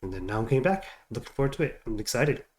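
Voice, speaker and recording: A middle-aged man with a congested, stuffed-up voice talks casually into a home desk mic. He rambles along, trailing off and restarting with plenty of ums and uhs. His pitch sits in an ordinary middle range for a man. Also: animated, rapid-fire, darkish tone. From United States. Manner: rambling, hesitant with many fillers. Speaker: reaction video host. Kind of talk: casual chat.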